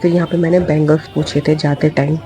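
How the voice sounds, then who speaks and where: A hoarse woman vlogger chatting casually in a voice-over about a shopping trip, repeating phrases for emphasis. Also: sits low, little pitch movement, quick pace, dark tone, soft background music, some chatter behind.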